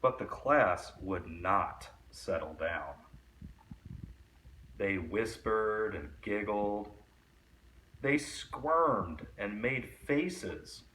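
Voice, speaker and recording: A man reading a children's picture book aloud as a storyteller, in an expressive storytime style. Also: fairly low pitch, very slow, far from the mic, a little hiss or hum, poor audio.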